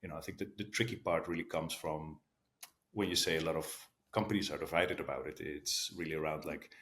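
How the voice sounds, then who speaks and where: A middle-aged man from the Netherlands with a Dutch accent tinged with American, answering interview questions in a relaxed way, thinking aloud with fillers. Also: rumbling low, fairly quick. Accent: Dutch with some American. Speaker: guest, sales leader.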